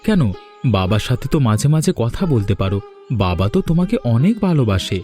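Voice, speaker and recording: A man's voice: medium pitch, very animated, fairly quick, warm tone, faint music behind, close to an everyday voice. A man voicing a caring young husband in a cartoon story, speaking in a reassuring way. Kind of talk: cartoon voice acting.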